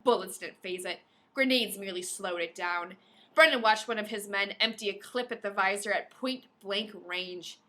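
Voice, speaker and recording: A woman co-hosting a podcast, reading a novel aloud in an animated, playful way and breaking off for casual banter. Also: medium pitch, normal speed. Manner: playful, dramatic, often breaks off to comment.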